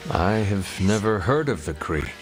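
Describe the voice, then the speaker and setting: An actor playing a solemn ancient warrior hero in a video game, speaking in a measured, formal, earnest way. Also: male voice, on the low side, lively intonation, faint music behind. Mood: curious, earnest. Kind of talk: video game dialogue acting.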